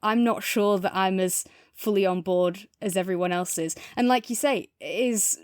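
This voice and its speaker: A woman's voice: mid-range voice, moderate pace, clean tone, dry recording, studio quality. A woman chatting casually and thoughtfully as a podcast co-host in a review discussion.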